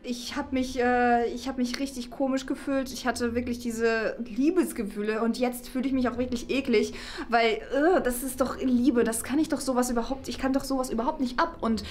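A woman's voice: fairly high pitch, fairly even intonation, normal speed, few pauses, a little mellow, lightly acted. A young woman playing an awkward teenage goth girl in a web skit, flustered and stammering as she denies her feelings. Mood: awkward, defensive. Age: young adult. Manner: stammering, repeating words. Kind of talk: improvised web-video skit acting.

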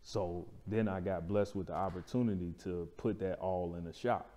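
A deep-voiced man explains things casually in an interview. His tone is dark and relaxed, his phrasing colloquial, and the room rings with noticeable reverb. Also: normal speed. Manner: colloquial, explanatory, checking in with "right?". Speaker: barbershop owner, interview guest. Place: indoor lounge-style studio. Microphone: lapel mic.

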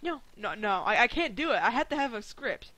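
An animated, bright teenage boy chatting over a multiplayer game, his voice swinging all over and roughened with gravel. He trades jokes and complaints in quick bursts, with a faint hiss under the recording. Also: extremely high pitch, rough recording. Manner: hyper, yelling bursts, playful whining. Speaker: gaming channel host. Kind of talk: casual multiplayer gaming chat.

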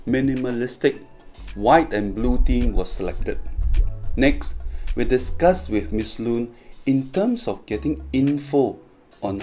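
A man's voice: medium pitch, mellow. A man reading a scripted promotional narration as the presenter of a company video. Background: background music.

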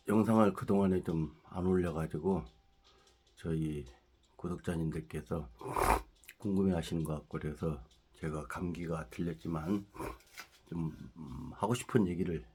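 A middle-aged man talks through a head cold, his voice stuffy and nasal. His pitch sits a little low, and he rambles in a casual monologue, firing off rhetorical questions as he heats up. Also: a little slow, pauses often, warm tone, breathy edge. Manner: ranting, rising heated at points with rhetorical questions. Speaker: political commentary channel host.

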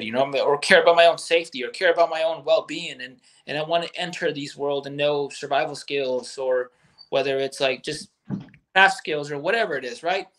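A man's voice: fairly high pitch, a bit bright. A man in his thirties, a guest business owner, talking bluntly and with lots of swearing in a candid chat over a video call from a bedroom at home. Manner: blunt, profane, emphatic.

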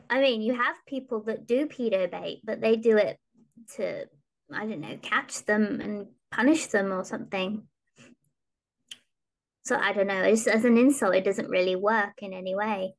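A young woman with a high voice, chatting casually as a podcast guest. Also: unhurried, low-quality recording. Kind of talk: casual chat. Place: small room at home, video call.

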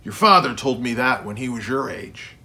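A man's voice: medium pitch, expressive, moderate pace, bright, forceful tone. A man voicing a big, playful, easygoing imaginary friend with a breezy, enthusiastic manner in a storybook. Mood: wistful, easygoing.

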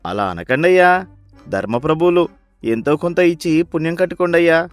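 Man acting a humble beggar, fairly high-pitched. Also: expressive, normal speed, no echo, soft background music, natural voice. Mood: humble pleading. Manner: pleading, deferential. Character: humble, pleading hungry male beggar.

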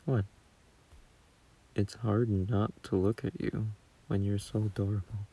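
A man playing a gentle, shy, doting young boyfriend in a sleep-aid audio roleplay, murmuring softly and hesitantly close to a phone mic. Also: fairly low pitch, little pitch movement, unhurried, full character voice. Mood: tender, adoring. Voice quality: husky, soft, breathy murmur.

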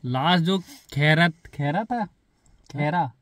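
A man's voice: a little high, takes it slowly, fairly bright tone, no echo. A man vlogging a road trip from his car, chatting casually with his viewers. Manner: chatty, keeps saying "bhai" and "hai na". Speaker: travel vlogger. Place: inside a moving car on the road. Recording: noisy car interior.

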